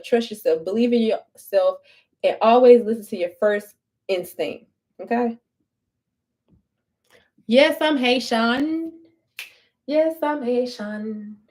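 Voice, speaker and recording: A woman's voice: a little high, darkish tone. Profane, chatty woman livestreaming from home. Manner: blunt, profane, chatty, repeats herself. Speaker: livestream vlogger. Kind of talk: casual chat while doing her hair. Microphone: phone livestream.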